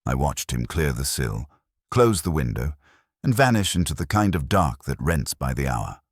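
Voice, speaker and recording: A man reading a first-person detective story as narrator, in a dry, measured noir manner. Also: extremely low pitch, very animated, no room sound.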